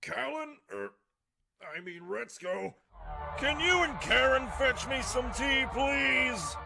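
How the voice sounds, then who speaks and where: A man plays a lazy, bullying cartoon office boss. His voice is high and gravelly, drawn out slowly and demandingly, with loud music underneath. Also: very animated, heavily acted. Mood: smug, falsely pleasant. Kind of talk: animated comedy comic dub.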